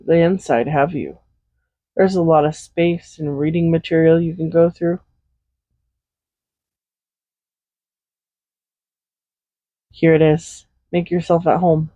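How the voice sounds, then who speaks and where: A man's voice: on the high side, warm tone, no echo, lo-fi sound. A man playing a tender, possessive villain in an intimate roleplay audio drama, speaking in a soothing, coaxing, reassuring way. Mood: gently inviting. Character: tender, possessive villain comforting a captive loved one. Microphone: close USB condenser microphone.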